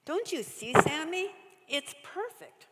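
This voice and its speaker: A woman voicing an earnest, excitable young girl from a storybook, recorded in a reverberant church hall. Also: high-pitched, slow pace, very noisy, voice pushed up, heavily acted. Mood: excited, earnest.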